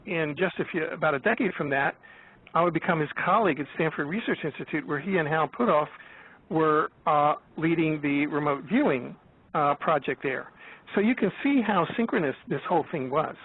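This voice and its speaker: An American man of seventy talking in a rambling, halting way in an interview, often restarting and repeating his words. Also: fairly high pitch, husky. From United States, born in Florida. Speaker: guest, author and researcher. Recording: tinny phone-like line, dry.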